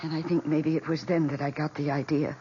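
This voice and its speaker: A woman's voice: low voice, flat delivery, a little fast, dark tone, lightly acted. Fragile American housewife, played by a woman, young, obsessive and intense, classic radio drama, dull faintly hissy recording. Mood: haunted, confessing. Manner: intense, dramatic, breathy emphasis. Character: fragile, obsessive housewife confessing her killer plants' deed.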